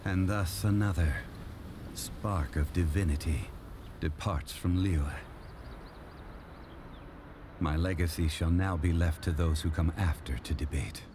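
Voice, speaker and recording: An actor playing an ancient male god who speaks in a measured, formal, unhurried way in video game dialogue. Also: deep voice, noisy background. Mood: solemn. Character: ancient male god, calm, solemn, dignified. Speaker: game character.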